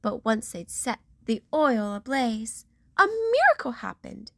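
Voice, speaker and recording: A woman's voice: a little high, very animated, measured pace, a bit bright, dry recording. A woman reading a rhyming children's picture book aloud with theatrical storyteller emphasis.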